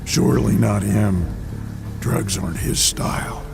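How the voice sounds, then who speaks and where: Grim male vigilante played by a man: heavily gravelly, terse, brooding audio drama delivery over loud music. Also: lowish voice, unhurried. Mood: grim suspicion.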